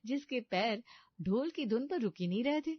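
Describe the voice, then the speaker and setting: A woman's voice: a little high, expressive, moderate pace, dry recording, dull audio. A woman narrating a scripted comedy story.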